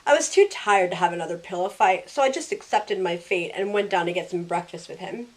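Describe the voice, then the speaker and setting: A woman's voice: lowish voice, a little fast, close to an everyday voice, low-quality recording. Woman playing a sarcastic young female narrator. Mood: bright, playful. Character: sarcastic, self-questioning young woman narrating her story. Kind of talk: first-person audio drama narration and dialogue.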